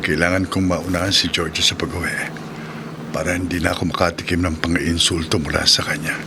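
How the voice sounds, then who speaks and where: A man's voice: deep voice, flat delivery, fairly quick, heavy background noise. A man with a Filipino accent playing a humble, hurt taxi driver husband in a melodramatic radio drama. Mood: dejected. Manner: earnest, emotive, melodramatic.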